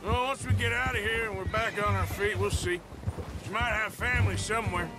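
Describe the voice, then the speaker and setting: A man's voice: high voice, fairly even intonation, fairly quick, lightly acted. A man playing a rugged, sardonic American cowboy outlaw in a video game, with a folksy, blunt drawl. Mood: sympathetic. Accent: American Western. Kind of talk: video game cutscene acting. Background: loud music.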